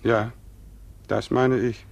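An actor playing a grave, fatalistic farmer in a German radio play, speaking with emphasis. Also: male voice, medium pitch, level tone, takes it slowly, creaky. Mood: gloomy, fatalistic. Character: resolute, fatalistic farmer convinced of coming doom.

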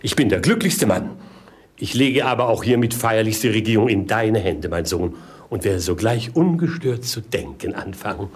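A muddled old king with a husky voice that swings widely in pitch. He is pompous and sentimental, theatrical in the manner of a 1950s radio play. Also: expressive, moderate pace. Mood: moved, self-important. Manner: pompous, theatrical, abruptly swooping between musing and exclaiming.

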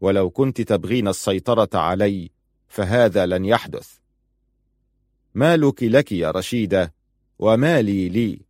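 A man's voice: lowish voice, lively intonation, measured pace, pauses often, a little mellow, no echo, studio quality. A man playing a stern, proud and suspicious husband in audiobook dialogue, firm, curt and challenging.

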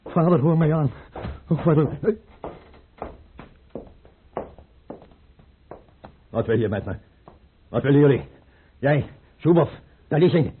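A haunted, defiant monarch acted by a man speaks through a narrow, phone-like old radio recording with noise. His pitch swings widely as he delivers anguished, theatrical broken phrases, full of dread. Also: lively intonation, normal speed, lightly acted. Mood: terrified pleading. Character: terrified, haunted male tsar, paranoid and defiant. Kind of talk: Dutch radio play acting.

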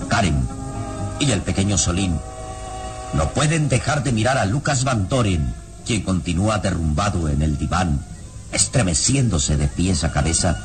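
A man narrating a vintage radio serial in a solemn, deliberate, theatrical style. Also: sits low, expressive. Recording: old radio serial with loud music bed.

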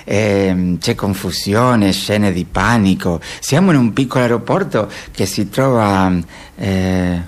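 A man playing a solemn, eager roving reporter giving a halting, grave live report in radio theatre. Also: on the low side, pitched below the performer's own voice.

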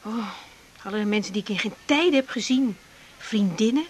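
A woman's voice: mid-range voice, lively intonation, normal speed, slight background noise, close to an everyday voice. An actress playing a composed, direct young woman doctor in a naturalistic radio drama. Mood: confiding. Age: young adult.

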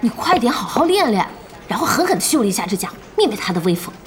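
A woman playing a lively, exasperated young gamer in an audio drama, expressive, emphatic and chatty. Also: a little high, fast. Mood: indignant. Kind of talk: animated Chinese audio drama acting. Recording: music bed under the dialogue.

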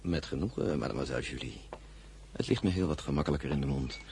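An actor playing a gallant young gentleman suitor in a radio play, courteous and ingratiating. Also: very deep voice, fast, a little hiss or hum.

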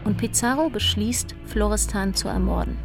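A woman's voice: mid-range voice, normal speed, vocal fry. A woman narrator reading a scripted story summary in a radio feature. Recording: prominent music behind the voice. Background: music.

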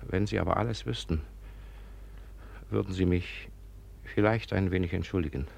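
Irritable young gentleman, proud, theatrical, sharp-tongued, rough husky voice, 1950s radio drama. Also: sits low, moderate pace, exaggerated voice. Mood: contrite, subdued.

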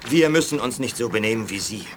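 A man's voice: on the low side, expressive, fast talker, close to an everyday voice. A man acting an eager, humane soldier with an urgent, pleading manner in a 1980s German sci-fi radio play. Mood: urging, principled. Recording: clear battle and vehicle noise behind.

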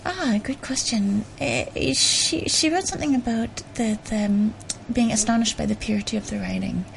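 A woman from Canada speaks in a radio interview about her writing, pausing and restarting as she thinks. Her voice carries a gravelly fry. A faint ambient hiss sits behind her. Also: fairly high pitch, low-quality recording. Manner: thoughtful, hesitant with many restarts and ums. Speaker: author guest.

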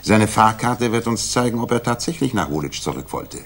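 An actor in a 1960s German radio drama playing a cool, self-assured detective with a measured, dry, precise delivery. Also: male voice, fairly low pitch, expressive. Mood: analytical, probing. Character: Sherlock Holmes, cool, analytical, self-assured male detective.

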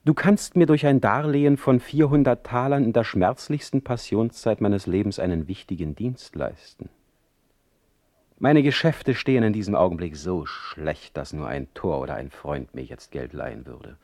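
An actor playing a sardonic, proud exiled poet in a radio play, speaking in eloquent, ironic, deliberately phrased monologue. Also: male voice, deep voice, darkish tone, no room sound, clean studio sound.

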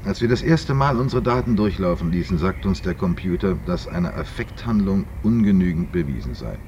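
A real low-voiced detective in an old radio drama, probing, very lively intonation. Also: male voice. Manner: measured, insistent questioning and explaining. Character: authoritative male telepath investigator, probing, explanatory, insistent. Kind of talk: German science-fiction radio play acting. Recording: dull, slightly noisy 1970s radio recording.

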